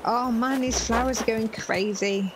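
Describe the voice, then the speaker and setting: A woman's voice: a little high, fairly even intonation, unhurried, music in the background, poor audio. A woman in her thirties from Herefordshire with a Welsh-border English accent, chatting casually on a live stream while she plays a game and reads viewer messages aloud. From England (Herefordshire). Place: small room indoors. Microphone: headset.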